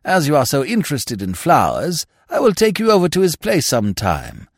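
A man's voice: fairly high pitch, wildly swooping pitch, moderate pace, no room sound, clean studio sound, pitched above the performer's own voice, heavily acted. A man voicing an amiable, vague older English lord in an audiobook, chatty and rambling in short genial remarks. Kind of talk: audiobook character voice.

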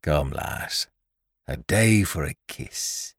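A man's voice: low-pitched, very animated, slow pace, gravelly, a bit bright, dry recording, clean studio sound, full character voice. A man voicing a brutal, drunken, leering pirate captain with archaic sailor speech in an adventure audiobook. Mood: drunken jocularity. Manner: roaring, maudlin jocularity, archaic sailor speech.

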